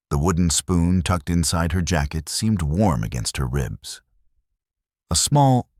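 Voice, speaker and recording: Very deep-voiced male storyteller. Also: no room sound, clean studio sound. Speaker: audiobook narrator. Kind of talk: scripted romantic western narration.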